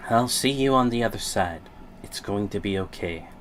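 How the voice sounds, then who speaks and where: A man's voice: lowish voice, moderate pace, a bit bright, slight background noise. A man livestreaming a visual novel, giving casual commentary and reading choices aloud.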